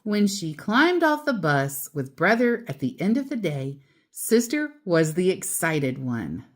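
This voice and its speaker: A woman storyteller reading a children's book aloud with expressive, deliberate, emphatic phrasing and casual asides. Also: fairly low pitch.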